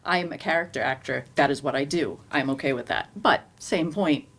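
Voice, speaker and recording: A woman with a notably low voice talks as a panelist in an unscripted discussion. She speaks in a halting, hesitant way, feeling for the right words as she goes. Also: a bit bright. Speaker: panelist, stage character actor.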